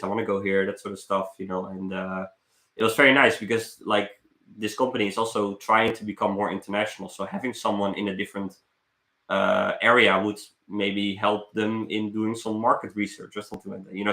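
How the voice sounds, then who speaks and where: The sound is roomy and echoey. A young man talks in a bright, forceful voice on the low side. He rambles casually like a streamer catching up with viewers, halting and repeating words as he goes. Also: steady tone. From Netherlands. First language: Dutch. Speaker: live streamer, travel vlogger. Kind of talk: casual live stream chat. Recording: roomy echo.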